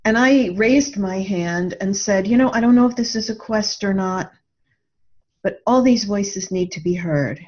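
A woman's voice: medium pitch, unhurried, darkish tone. A woman speaking as an interview guest, telling her story in a reflective, conversational way. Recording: slightly muffled lo-fi audio.

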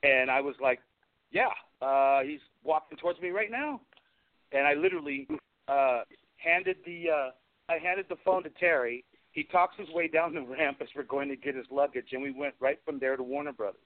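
A man's voice: a little high, moderate pace. A middle-aged man, a guest craftsman, telling chatty anecdotes in an interview and acting out bits of conversation as he goes. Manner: chatty, recounts conversations in quoted dialogue. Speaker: guest, special effects craftsman. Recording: tinny phone-line radio interview.

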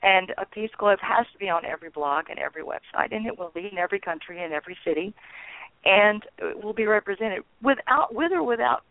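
A woman's voice: medium pitch, lively intonation, fast talker, no echo. A woman guest on a radio interview, talking earnestly and chattily with bursts of enthusiasm, calling in by phone. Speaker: guest, founder of a peace network. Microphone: phone call. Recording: tinny dry phone line.

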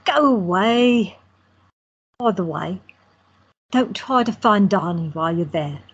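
A woman playing a quiet, teasing photographer in her twenties, trading dry banter with her brother. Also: mid-range voice, lively intonation, slow pace, breathy edge, exaggerated voice. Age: 20s. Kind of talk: amateur audio drama acting. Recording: dull lo-fi audio.

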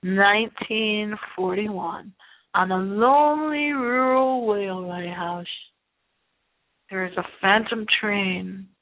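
A woman's voice: medium pitch, slow pace, slight background noise. A middle-aged woman hosting a late-night horror movie radio show, rambling theatrically and repeating her phrases. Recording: thin, phone-like lo-fi sound.

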